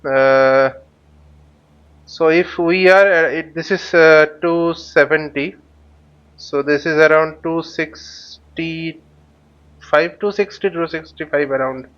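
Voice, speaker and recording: A man giving an unscripted flight simulator tutorial, thinking aloud haltingly and often muttering to himself. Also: a little high, a bit bright, clean tone, muffled recording. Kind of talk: unscripted tutorial commentary while flying a simulator.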